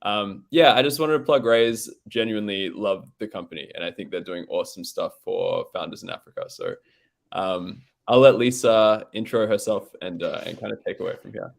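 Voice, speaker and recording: An Australian man hosting an online founder session, relaxed and upbeat, with a lot of "um"s. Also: on the low side, moderate pace, vocal fry. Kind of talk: casual live-stream chat.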